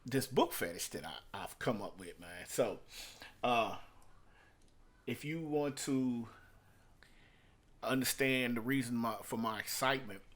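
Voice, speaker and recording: A man with a mid-pitched voice talks casually to his viewers, like an independent producer hosting his own video. His delivery is unscripted and halting, with repeated words for emphasis. It moves between subdued stretches and hyped, louder pushes. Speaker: music producer and entrepreneur hosting a video book review. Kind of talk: casual unscripted vlog monologue.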